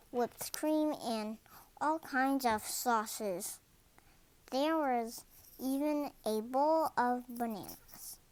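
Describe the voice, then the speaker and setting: A young-sounding reader narrating a children's story aloud, deliberately and word by word. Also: medium pitch.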